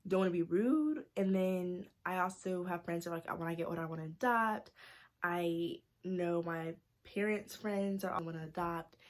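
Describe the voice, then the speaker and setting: A young woman from North Carolina with a Southern accent, talking casually to her camera as a vlogger, full of "like" and "you guys". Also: medium pitch. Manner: chatty, many "like"s and "you guys". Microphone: camera mic.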